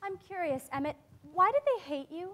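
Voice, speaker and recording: An earnest, high-voiced teenage girl. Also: expressive, bright, forceful tone, full character voice. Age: young adult. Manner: measured, earnest delivery. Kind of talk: staged dramatic reading. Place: theatre stage before a seated audience. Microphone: clip-on lapel mic.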